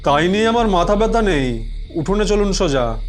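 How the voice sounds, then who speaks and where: A man's voice: high voice, sing-song, moderate pace. A man voicing a wry, confident, bossy ghost-hunting investigator in an audio suspense story over music. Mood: brusque. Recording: music bed behind the voice.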